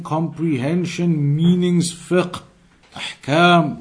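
A man with a slightly higher-pitched voice delivers an explanatory religious lecture. He is emphatic, restating each key point in different words as an Islamic teacher would for students.

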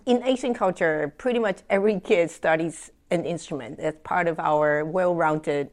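A low-pitched woman from Taiwan speaks as an interview guest. She tells her stories in an animated way, with plenty of ums and small laughs. Her voice sits deep for a woman. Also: moderate pace. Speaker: guest, French horn player. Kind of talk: conversational interview.

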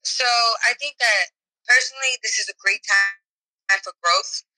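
A woman from New York joins a video call as a guest. Her voice is very bright and sharp at a middle pitch. She speaks in an upbeat, affirming way and often says "like". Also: measured pace, soft background music, low-quality recording. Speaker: singer and guest. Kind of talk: casual video-call interview.

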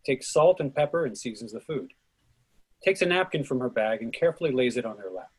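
A man's voice: medium pitch, lively intonation, fairly quick, breathy edge, rough recording. A man reading a play's stage directions as scripted narration.

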